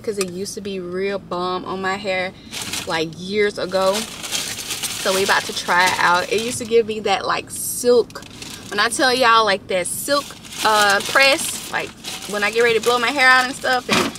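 A woman's voice: mid-range voice, gravelly, noisy background. A young woman, chatting casually to her vlog viewers from her parked car. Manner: chatty, addresses viewers as y'all. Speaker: vlogger. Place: parked car, then large store.

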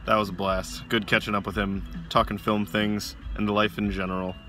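A man's voice: fairly low pitch, little pitch movement, moderate pace, bright, forceful tone, faint music behind. A man in his twenties with an American accent, chatting in an upbeat, casual way for a video diary. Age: 20s. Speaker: vlogger.

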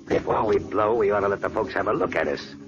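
An actor playing a gruff undead gang boss, cocky and cruel, who sneers in tough-guy slang, in 1940s radio acting. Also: fairly low pitch, fast talker, lightly acted. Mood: sneering. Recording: dull old radio recording with music.

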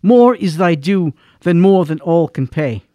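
A man plays an affectionate, gracious monarch in a Shakespearean radio drama. His high male voice is regal and effusive, with a dry, close sound. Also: expressive, measured pace, dark tone, no echo. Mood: grateful, affectionate.

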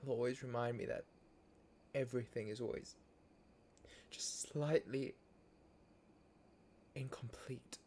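A man's voice: medium pitch, steady tone, slow pace, pauses often, a little mellow, slight background noise. A young man chatting casually with a friend on a voice call while loading a game, joking and musing in a mock-dramatic way. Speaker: gaming video creator. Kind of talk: casual chat over voice call.

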